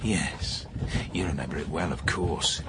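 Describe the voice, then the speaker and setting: An actor playing a jealous, cruel aristocratic husband in a period radio drama, sneering and accusing. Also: male voice, a bass voice, unhurried, very noisy. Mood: menacing jealous anger.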